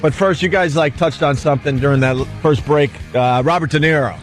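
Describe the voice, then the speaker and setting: A man hosting a casual radio talk show, speaking loosely and haltingly into a close studio mic. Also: a little high, soft background music.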